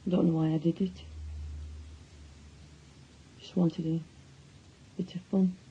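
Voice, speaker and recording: An actress playing a guilty, regretful, subdued woman with a husky voice in a radio drama. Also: fairly low pitch, little pitch movement, takes it slowly, muffled recording, heavily acted. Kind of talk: naturalistic British radio drama acting.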